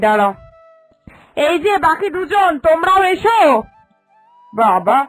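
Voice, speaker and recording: A woman playing a bossy, scolding old mother-in-law in a cartoon, stern and reproachful. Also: sits high. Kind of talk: animated cartoon dialogue.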